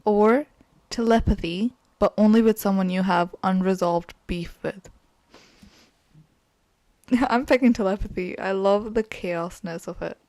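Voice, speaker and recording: A young woman co-hosting a podcast, talking casually and giggling between thoughts. Her first language is Urdu, and her pitch sits in an ordinary middle range. The sound is dry and close. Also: measured pace, no room sound. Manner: chatty, giggly, animated. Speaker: podcast co-host. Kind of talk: casual chat.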